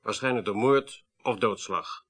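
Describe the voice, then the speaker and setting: A man's voice: fairly low pitch, fairly bright tone, breathy edge. Politely insistent male detective. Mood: stern. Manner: measured, slyly insistent, formal. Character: firm, politely pressing male police detective. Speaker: radio drama actor. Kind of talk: 1980s Dutch radio play acting.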